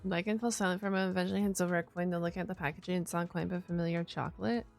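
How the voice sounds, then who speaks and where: A woman streamer giving a casual, slangy, excitable live reaction to a video game. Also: on the low side, rapid-fire, vocal fry. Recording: game music behind the voice.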